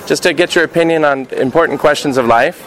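Higher-pitched American man hosting radio. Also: quick pace, a bit bright, rough recording. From United States. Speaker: radio show host and interviewer. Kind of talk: radio intro and street interview.

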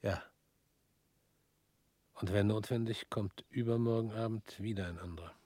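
An actor in a radio drama playing a weary former prosecutor who speaks slowly and drops to near whispers. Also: male voice, lowish voice, steady tone, mellow, no room sound, close to an everyday voice.

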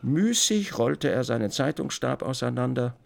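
Man narrating a literary radio play, creaky voice, really varied pitch, expressive storytelling. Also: moderate pace.

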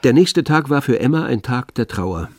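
A man narrating a radio play in a measured, grave, literary style. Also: mid-range voice, animated, a little mellow, dry recording, studio quality.

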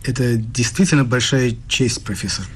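A man's voice: medium pitch, moderate pace, fairly bright tone, slight background noise, lightly acted. An actor playing a polite young male doctoral researcher in a radio play, a guarded interviewer who speaks courteously and a little hesitantly. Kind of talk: dramatic radio dialogue.

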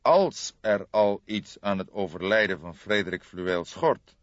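Man playing a slow, deep old inspector. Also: animated, dry recording, highs cut off. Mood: sombre, thoughtful. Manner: slow, measured, thoughtful. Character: calm, deliberate, sombre old male police inspector.

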